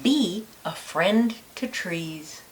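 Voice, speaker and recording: A woman reading a children's nonfiction picture book aloud like a teacher, with deliberate, lilting emphasis. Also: lowish voice, expressive, slight background noise, rough recording.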